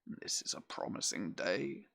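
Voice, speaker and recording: A man's voice: a little slow, fairly bright tone, no room sound, studio quality, close to an everyday voice. A man reading the lines of a bold, cheerful medieval lord in a verse play, hearty and declaiming.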